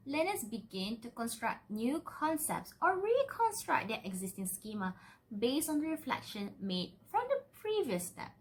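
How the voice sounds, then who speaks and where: A woman's voice: sits high, low-quality recording. A young woman giving a scripted academic presentation as a student, in a formal manner with emphatic enunciation. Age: young adult.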